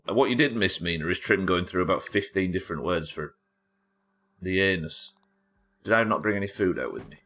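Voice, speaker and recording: Low, croaky British man streaming. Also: brisk, bright, forceful tone. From United Kingdom. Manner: chatty, swears, reads chat aloud. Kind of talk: casual gameplay chat.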